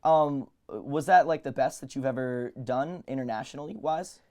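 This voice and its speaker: A man's voice: mid-range voice, moderate pace, a little mellow. A man hosting a talk show, interviewing his guest with eager enthusiasm. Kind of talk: casual interview chat.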